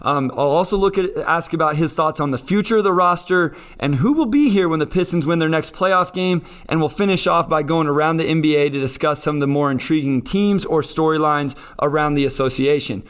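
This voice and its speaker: A man's voice: on the high side, brisk, hardly any pauses, a bit bright, clean tone. A man hosting a sports podcast in a conversational style. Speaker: podcast host.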